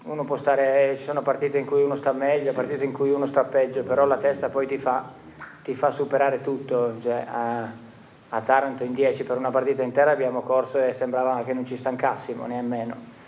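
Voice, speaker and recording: A man's voice: medium pitch, level tone, darkish tone, slight background noise. Man in his 40s, football coach at a press conference, thoughtful, restarts sentences, a bit lo-fi. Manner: measured, reflective, with frequent restarts. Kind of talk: post-match press conference answers. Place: stadium press room with sponsor wall. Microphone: table microphone.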